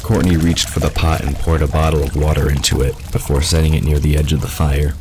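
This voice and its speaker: A man's voice: rumbling low, level tone, fairly quick, a little mellow, a little hiss or hum. A man reading scripted fiction as the narrator of an audio drama.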